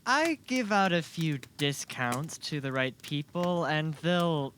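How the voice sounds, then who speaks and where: Man playing an eager, higher-voiced young shop lad. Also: animated, slow, a bit bright. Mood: earnest. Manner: inquisitive, earnest. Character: eager, curious young man, earnest small-town shop worker. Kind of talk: amateur audio drama acting. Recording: faint background noise.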